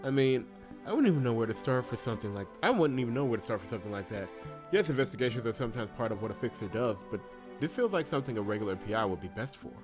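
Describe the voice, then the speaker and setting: A man's voice: medium pitch, animated, very fast, music in the background, natural voice. A man voicing a guarded but sympathetic cyberpunk detective in a casual, wry way for a visual novel. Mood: reluctant, wry.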